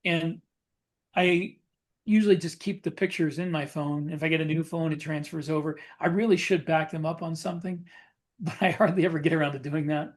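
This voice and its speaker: A man chatting casually as a podcast co-host, explaining things in a halting way. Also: fairly high pitch, steady tone.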